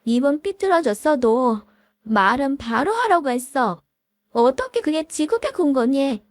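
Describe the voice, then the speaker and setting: A woman voicing a long-hurt wife who confronts her childhood bully with sharp, cold retorts. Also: sits high, animated, unhurried, no echo, clean studio sound, voice pushed up. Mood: accusing. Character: wife, middle-aged woman, long-hurt and now defiant.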